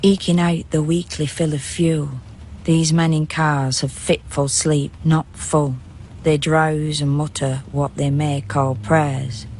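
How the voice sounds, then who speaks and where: A woman narrating a poetic radio drama in a measured, hushed, deliberate way, as a grave observer of men sleeping rough. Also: low-pitched, flat delivery. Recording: faint background noise and ambience.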